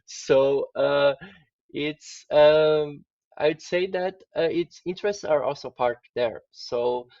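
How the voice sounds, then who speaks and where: A man's voice: on the high side, fairly even intonation, gravelly, a little mellow, dry recording. Man, academic guest, slightly muffled dry audio. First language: Portuguese. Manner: measured, academic, many "uh" fillers. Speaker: guest expert. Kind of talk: interview answers.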